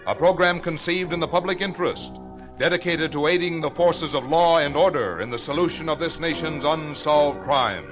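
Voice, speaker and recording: Male American network announcer, formal 1950s radio style, higher pitch, music clearly behind. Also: normal speed, low-quality recording. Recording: vintage radio broadcast.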